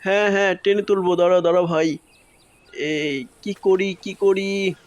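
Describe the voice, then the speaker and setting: Superhero rescuer, kids' cartoon, male voice actor: well-meaning, flustered, repetitive, hurried reassurance. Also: high voice, steady tone. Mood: flustered, reassuring. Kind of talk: children's superhero cartoon voice acting.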